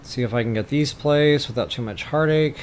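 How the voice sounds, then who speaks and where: A man's voice: mid-range voice, fairly quick, a little hiss or hum. A man hosting a video game building tutorial, informal and mildly self-deprecating as he thinks aloud. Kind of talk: casual screen-recorded game building tutorial. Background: music.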